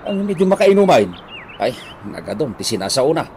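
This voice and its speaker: A man playing a well-meaning, chatty buddy who coaxes and grumbles haltingly in an Ilocano comedy radio drama. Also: medium pitch, very animated, a little mellow, slight background noise. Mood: grumbling.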